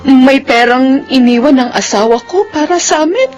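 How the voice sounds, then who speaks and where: A woman's voice: sits high, lively intonation, moderate pace, darkish tone, soft background music. A woman acting as a poor, devout, hopeful middle-aged widow and mother, earnest and long-suffering, in a Filipino radio drama. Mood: astonished, moved. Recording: dull lo-fi radio drama track.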